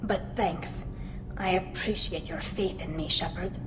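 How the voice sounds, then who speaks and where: A woman's voice: lowish voice, a little slow, background noise. A woman playing an earnest, anxious and loyal young alien engineer in a video game, speaking through a helmet filter. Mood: worried, sincere. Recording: roomy game audio with music behind.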